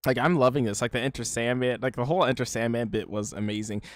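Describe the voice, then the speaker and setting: A man of average pitch talks through a casual reaction commentary. He rambles and circles back with "like" and "you know". His voice sounds dry and close, with no room around it. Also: fast, no room sound. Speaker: YouTube reaction host.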